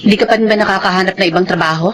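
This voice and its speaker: A woman's voice: mid-range voice, racing, fairly bright tone, a little hiss or hum, close to an everyday voice. An actress playing a weary, frustrated wife and mother struggling with poverty in a radio-style drama. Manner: complaining, exasperated.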